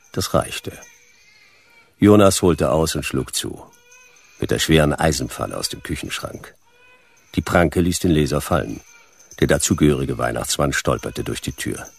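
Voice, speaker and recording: A hard-boiled detective in a noir radio play. The voice is very low, gravelly and husky, and the delivery is terse, dry and deadpan. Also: male voice, a little slow, long pauses, full character voice. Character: laconic, hard-boiled male detective narrating his case.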